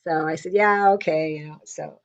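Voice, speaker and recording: An older woman in semi-retirement telling a casual story with a loud, blunt way of talking, acting out what people said. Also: sits low, normal speed, lo-fi sound. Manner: lively, blunt, quotes people in her stories. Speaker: interviewee.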